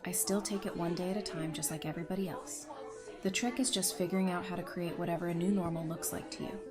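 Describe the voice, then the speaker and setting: A woman's voice: lowish voice, steady tone, quick pace, over music, natural voice. A woman playing a friendly, easygoing survivor in an audio drama, chatty, informal and reassuring. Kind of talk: fan audio drama acting, casual dialogue.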